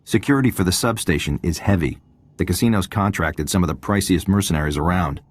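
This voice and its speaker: An actor playing a confident, wry military intelligence agent giving a mission briefing in a video game. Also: male voice, sits low, fast talker, no echo. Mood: assured briefing. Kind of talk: video game cutscene dialogue.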